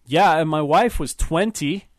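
A man voicing a drunk young Scandinavian man who is boastful and jealous, talking loud and rambling with slurred words. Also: a little high, measured pace, no echo, clean studio sound, voice pushed up, close to an everyday voice. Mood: drunk, indignant.

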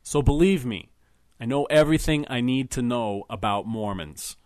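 A man's voice: mid-range voice, lively intonation, moderate pace, darkish tone, dry recording, lightly acted. A man voicing a blunt, wry friend who speaks dryly and plainly. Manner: dry, blunt.

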